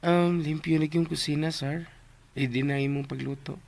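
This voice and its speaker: An actor playing a cheeky, simple-minded, meddling household helper with a Cebuano accent and a raspy voice in a comic radio drama. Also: mid-range voice, steady tone, moderate pace, over music, lightly acted. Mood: sheepish.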